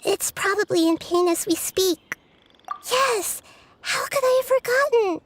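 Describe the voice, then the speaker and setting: A girlish, extremely high voice belongs to a wise young deity. She is thoughtful, caring and articulate in scripted video game dialogue, with some faint noise behind her. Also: lively intonation, a little slow. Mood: alarmed. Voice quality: youthful, girlish.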